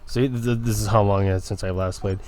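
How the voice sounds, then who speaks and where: A man's voice: on the low side, steady tone, fairly quick, warm tone, a little hiss or hum. A man rambling casually and hesitantly as he introduces a gameplay video, with an offhand manner. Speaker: gaming video creator.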